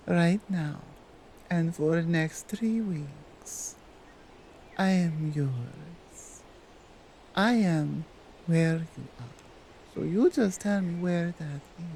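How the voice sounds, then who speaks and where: Actress as dominant otherworldly woman for ASMR romance: Nordic accent, mellow and creaky, teasing, commanding, doting. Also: on the low side, slight background noise, full character voice. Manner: slow, deliberate, teasing, commanding, non-native English phrasing. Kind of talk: ASMR roleplay addressed to the listener.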